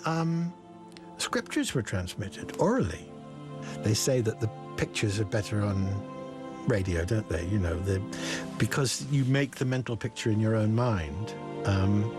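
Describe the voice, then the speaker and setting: A man with a mid-pitched, animated voice muses casually in an interview. He sounds tentative, working out his thoughts as he goes, with music clearly audible behind him. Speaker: interviewee on radio storytelling.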